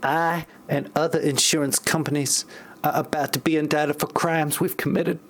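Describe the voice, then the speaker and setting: A man's voice: a little high, little pitch movement, moderate pace. A man playing a guilty insurance representative in an amateur parody audio play. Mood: confessing. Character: guilty male insurance representative confessing fraud.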